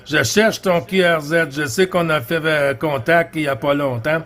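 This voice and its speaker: A man's voice: fairly high pitch, normal speed, fairly bright tone. A man with a Quebec French accent chatting casually as a CB radio operator, often repeating his phrases and addressing others in a friendly way. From Quebec, Canada. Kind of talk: casual radio contact chat.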